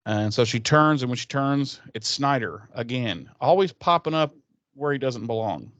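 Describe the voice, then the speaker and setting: Smooth-voiced sweary male podcaster, close and dry. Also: medium pitch, animated, normal speed, a bit bright, dry recording, highs cut off. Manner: sweary, sarcastic, rambling. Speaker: podcast co-host. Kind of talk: casual episode recap.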